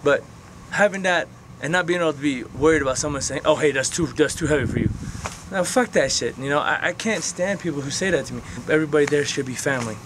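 A man in his late twenties talks straight to his camera out on open ground, rambling and frank, with some casual swearing. His voice sits a little high for a man. A faint outdoor hum runs under the camera mic. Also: fast. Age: 20s. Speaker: vlogger. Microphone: camera mic, close framing.

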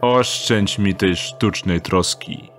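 A man's voice: sits low, slow pace, a bit bright, soft background music, pitched below the performer's own voice. A man voicing a weary, sardonic thief. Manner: curt and sardonic. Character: weary, sarcastic male thief.

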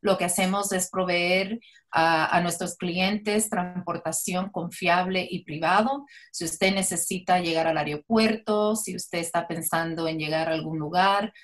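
A woman business owner introducing her company on a video call, polite and pitch-like. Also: fairly even intonation, measured pace.

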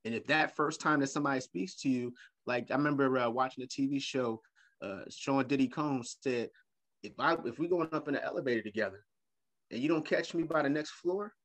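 A middle-aged man talking as a guest on a video call, animated and playful, often repeating his words. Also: mid-range voice, fairly quick. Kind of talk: casual video-call interview. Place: home room with leather couch.

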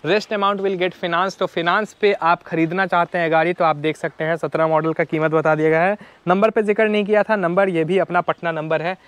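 Young host, higher-pitched, chatty, slips in English, lapel mic outdoors. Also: male voice, level tone, normal speed, hardly any pauses, a bit bright, clean tone, no room sound. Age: young adult. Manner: chatty, mixes English phrases, addresses viewers as brothers. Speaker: car vlogger host. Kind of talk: casual walkaround car showcase. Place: outdoor used-car lot. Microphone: clip-on lapel mic.

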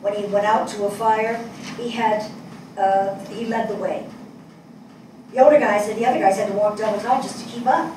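An older woman playing a thoughtful, compassionate writer in a staged dramatic reading, measured and earnest. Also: medium pitch, steady tone, darkish tone, recorded from a distance, close to an everyday voice. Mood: solemn tribute. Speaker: actor in a staged play reading. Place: small indoor theatre stage before an audience. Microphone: distant camera mic. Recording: echoey stage picked up from afar, noisy.

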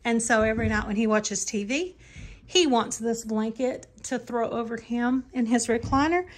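A woman talking casually in a home vlog, rambling and correcting herself in a conversational way as she goes. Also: a little high. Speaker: home and cleaning vlogger. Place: rooms inside a house, bedroom and bathroom.

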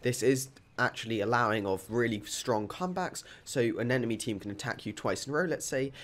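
A man with a sore throat giving a quick, upbeat voice-over guide to a video game. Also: mid-range voice, fairly bright tone. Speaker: gaming YouTuber.

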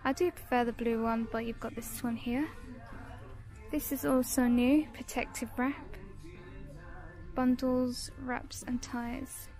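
A British woman with a high voice talks through products for a casual shopping vlog. She moves slowly from item to item in short, simple descriptions, with music playing behind her. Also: darkish tone. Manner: short descriptive phrases, listing items. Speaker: shopping vlogger. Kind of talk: casual shop-with-me product showcase narration.

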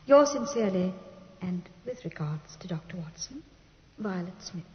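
A woman's voice: on the low side, expressive, moderate pace, close to an everyday voice. Woman acting proper young english lady, a bit anxious, old radio drama, echoey muffled sound. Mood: composed, uneasy. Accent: British English. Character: refined, anxious but resolute young gentlewoman. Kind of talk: 1950s radio drama, letter read aloud. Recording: muffled 1950s radio, long reverb.

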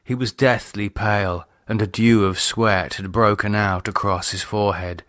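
A man narrating a novel in a measured, deliberate audiobook style. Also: fairly low pitch, steady tone, a bit bright, no echo, clean studio sound. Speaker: author reading own novel. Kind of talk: scripted audiobook narration, dramatised.

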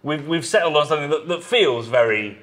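A man in his thirties hosting a casual interview at a brewery taproom table, speaking in a measured way that fades softly at the ends of phrases. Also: on the high side, animated. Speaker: host. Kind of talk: interview chat.

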